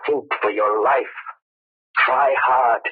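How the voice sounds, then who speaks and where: An actor in 1930s radio drama playing a mysterious, commanding mind-reading crime fighter, with a deliberate, hypnotic delivery. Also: male voice, mid-range voice, steady tone, slightly rough. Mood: urgent, concentrating. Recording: muffled, tinny old radio recording.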